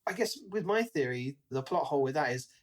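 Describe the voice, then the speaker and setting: A podcast co-host with a medium-pitched voice talks through ideas in a casual chat. The delivery is conversational and musing, as if thinking out loud. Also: lively intonation, fairly quick. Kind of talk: casual book discussion chat.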